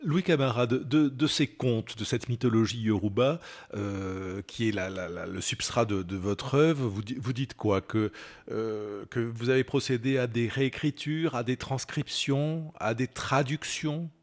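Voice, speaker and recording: Un homme mène un entretien littéraire et pose ses questions en butant et répétant des mots. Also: male voice, mid-range voice, a little slow. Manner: stumbling, repeated words in questions. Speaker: interviewer. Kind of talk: radio-style literary interview.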